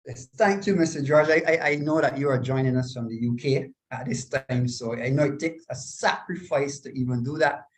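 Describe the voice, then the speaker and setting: A man's voice: mid-range voice, normal speed, few pauses. A man moderating a live online panel on a video call, courteous and a little apologetic as he reads out viewer comments.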